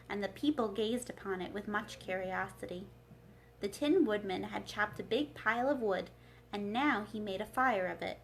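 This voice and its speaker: A woman reading a children's storybook aloud as a librarian, in an expressive narrating style. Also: medium pitch, slight background noise, poor audio.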